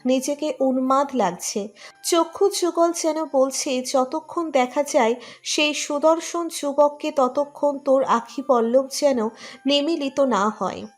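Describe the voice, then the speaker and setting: A woman reading a scripted romantic story as narrator. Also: sits high.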